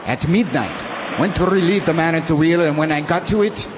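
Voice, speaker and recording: An actor playing a weary, dutiful sea captain narrating his log gravely in a radio drama. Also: fairly high pitch, close to an everyday voice. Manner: grave, measured, halting delivery. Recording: noisy amateur local radio recording.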